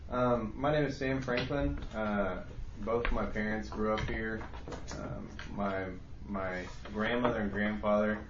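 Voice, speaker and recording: Deep flat-voiced young man. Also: a little slow, hardly any pauses. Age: 20s. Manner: hesitant, many fillers. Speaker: commission applicant. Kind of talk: self-introduction at a public meeting. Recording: echoey room, dull lo-fi sound, hiss.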